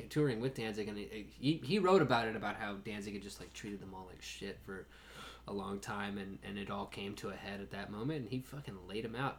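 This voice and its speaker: A man hosting a casual podcast, laid-back, swearing casually and giving lots of backchannels. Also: on the low side. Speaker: podcast host.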